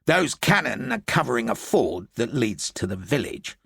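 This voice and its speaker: A male narrator raises his voice into a gruff, impatient general, barking short orders with a lively, up-and-down delivery. The voice has a gravelly, husky edge, and the reading is close and dry. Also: mid-range voice, moderate pace, no echo, heavily acted. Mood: brusque. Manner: gruff and brusque. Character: irritable, blunt middle-aged male British general.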